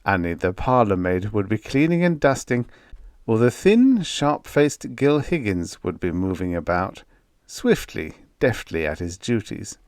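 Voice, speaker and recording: A man reading a vintage science fiction story aloud as an audiobook narrator, in a measured storytelling delivery. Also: on the low side, big pitch swings, no room sound, studio quality.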